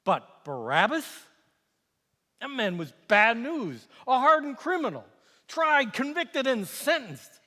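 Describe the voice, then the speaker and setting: Man acting animated, scornful Roman governor in echoing hall. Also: high-pitched, exaggerated voice. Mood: exasperated. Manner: animated, scornful, exasperated, swinging from quiet asides to outbursts. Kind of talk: theatrical dramatic monologue. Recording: echoey hall with long reverb.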